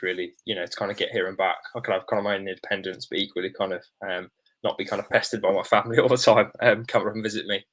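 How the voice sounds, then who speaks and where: A man's voice: on the low side, fairly bright tone. A young man from Norwich with an English accent, chatting casually as a student panellist in a hedging way. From Norwich, England. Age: young adult. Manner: hedging, frequent "kind of" and "sort of".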